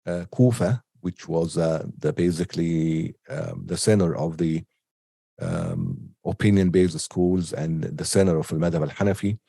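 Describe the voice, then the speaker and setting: A man giving an unscripted online lecture with a cold, halting often with ums and restarts. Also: sits low, creaky, dark tone, breathy edge, no echo, studio quality. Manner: hesitant, many ums and restarts. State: has a cold. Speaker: teacher.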